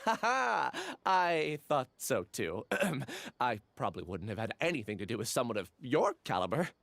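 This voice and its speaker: A woman playing an awkward, talkative character in a video game, speaking politely with expressive hesitations. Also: rumbling low, moderate pace, vocal fry, dry recording, exaggerated voice. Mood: curious, awkward.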